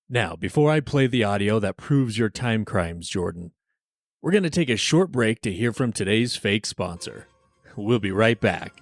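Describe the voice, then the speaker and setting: A man's voice: medium pitch, no echo, studio quality. A man hosting a comic podcast radio drama in a scripted, investigator-like style.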